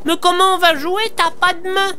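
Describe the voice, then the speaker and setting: A woman's voice: very high voice, level tone, normal speed, bright, forceful tone, a little hiss or hum. A woman voicing a curious, innocent young child in an audio drama, asking things simply and directly.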